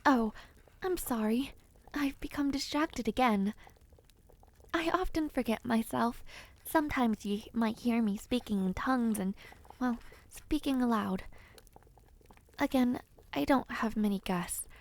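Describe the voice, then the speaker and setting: Kindly otherworldly witch for audio roleplay, played by a woman: high voice, soothing, old-fashioned phrasing, caring and a little scatterbrained. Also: unhurried, pauses often. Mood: sheepish. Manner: gentle, soothing, old-fashioned formal phrasing, easily distracted. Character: kind, dreamy peculiar witch, otherworldly and caring. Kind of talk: audio roleplay addressed to the listener.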